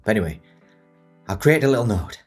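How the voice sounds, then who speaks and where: A man in his thirties hosting a video tutorial, croaky from a cold, talking in a rambling, joking, self-mocking way. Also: fairly low pitch, lively intonation, fast talker, faint music behind. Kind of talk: casual chatty tutorial. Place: home studio set in a new house.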